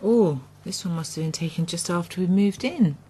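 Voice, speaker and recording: An actress playing a wry, thoughtful middle-aged farmer's daughter in a radio soap, husky. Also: female voice, fairly low pitch, normal speed, warm tone, slight background noise, natural voice. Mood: amused, nostalgic. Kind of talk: naturalistic radio soap acting.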